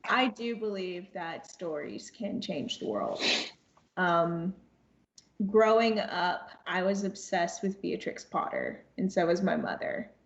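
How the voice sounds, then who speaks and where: A woman's voice: lowish voice, big pitch swings, slow, gravelly. A young woman speaking as a podcast guest, reflective and earnest, repeating phrases for emphasis. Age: young adult. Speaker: podcast guest, audio drama cast member. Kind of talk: reflective conversation. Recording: echoey room, dull lo-fi sound.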